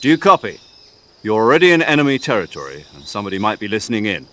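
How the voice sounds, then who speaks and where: An actor playing a middle-aged army major in a video game, briefing his agent with measured, dry authority. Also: fairly low pitch, sing-song, sharp tone, a little hiss or hum. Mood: firm, instructing. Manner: authoritative, measured, clipped instructions. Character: commanding middle-aged male army major, brisk and dryly humorous. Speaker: commanding officer briefing an agent.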